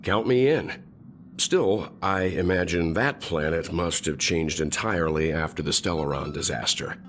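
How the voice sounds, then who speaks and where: Older game mentor, low voice, dignified, encouraging, faint music behind. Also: male voice, fairly bright tone. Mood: thoughtful. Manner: measured, encouraging. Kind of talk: video game character dialogue.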